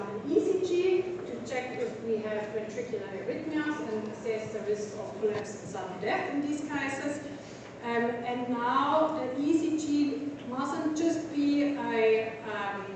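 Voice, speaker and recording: High-voiced German woman lecturing in echoey hall. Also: distant mic, background noise. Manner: explains step by step with many ums and likes. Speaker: veterinary lecturer. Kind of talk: university lecture.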